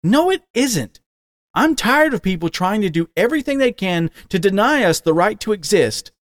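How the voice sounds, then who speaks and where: A man's voice: fairly high pitch, sing-song, normal speed, dry recording, clean studio sound, voice pushed up. A man voicing a young adult son, a wolf living as a horse, who sounds hurt and defiant with a rising voice.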